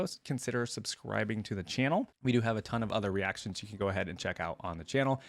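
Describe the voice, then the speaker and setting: A man's voice: mid-range voice, dry recording. A man hosting a reaction video, giving casual, unscripted commentary to viewers in a rambling, conversational way.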